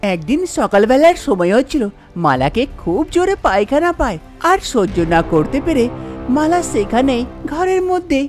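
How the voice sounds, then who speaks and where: A woman storyteller narrating a scripted cartoon tale in an expressive storybook style. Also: high-pitched, normal speed, music in the background.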